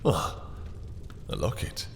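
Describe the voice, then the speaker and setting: A man playing a suave, possessive vampire lord in an intimate roleplay audio, speaking with mock courtesy, taunting seduction and quiet menace. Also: slow pace, in a large hall, a little hiss or hum, close to an everyday voice. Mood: smug, probing.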